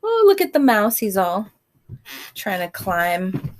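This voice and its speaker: A woman's voice: mid-range voice, big pitch swings, takes it slowly, a little hiss or hum. A woman reading a picture book aloud to young children, expressively, with conversational asides and questions to the listeners.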